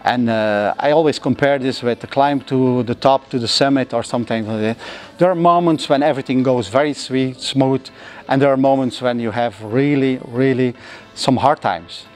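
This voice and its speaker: A man's voice: mid-range voice, darkish tone. Flemish-accented man answering interview questions. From Belgium (Flanders). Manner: halting, frequent "uh" pauses, non-native phrasing. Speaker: interviewee, entrepreneur.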